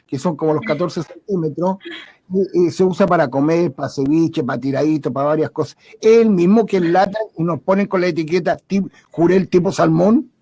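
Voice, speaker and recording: Chatty co-host, dry sound. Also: male voice, sits high, dark tone, no room sound, low-quality recording. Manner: informal, chatty, everyday examples. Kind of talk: casual interview questions.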